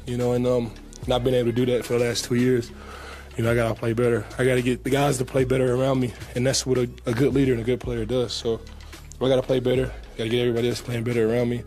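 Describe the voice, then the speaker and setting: Young man, pro athlete, press room table mic, relaxed, lots of "you know", faint music behind. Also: mid-range voice, racing. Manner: relaxed, casual, many fillers and repeats. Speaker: pro football player at a press conference. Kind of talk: press conference answers. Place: team press room, indoors. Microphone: table mic in front.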